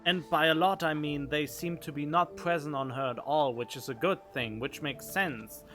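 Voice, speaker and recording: A man's voice: a little high, moderate pace, sharp tone, soft background music. A man giving casual, rambling game commentary as a streamer, informal and sweary.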